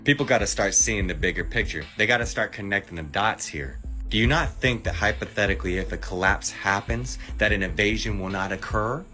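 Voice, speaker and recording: Deep-voiced man ranting with rhetorical questions. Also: a little fast, sharp tone, faint music behind. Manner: insistent, rhetorical questions, sarcastic. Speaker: TikTok commentator. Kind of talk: political rant to camera. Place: clip played within a compilation.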